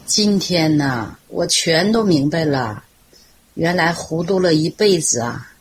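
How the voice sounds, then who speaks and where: A woman acting in a radio play as an outspoken, emotional bystander with a husky voice. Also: low voice, lively intonation, a little slow, exaggerated voice. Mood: moved. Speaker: radio drama actor.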